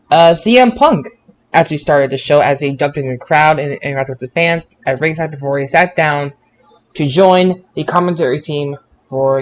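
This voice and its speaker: A man's voice: fairly high pitch, slight background noise, rough recording. A man co-hosting a podcast, recapping shows in an informal, bantering way and stumbling over his words now and then. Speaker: podcast co-host. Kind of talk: casual wrestling show recap.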